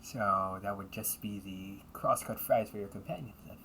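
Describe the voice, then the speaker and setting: A man's voice: fairly low pitch, normal speed, sharp tone, slight background noise, rough recording. A man acting the part of a young butler cafe waiter in an audio roleplay, with formal, courteous service talk.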